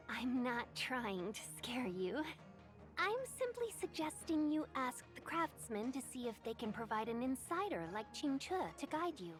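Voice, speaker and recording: A woman's voice: on the high side, lightly acted. A woman voicing a courteous young guide. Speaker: game character.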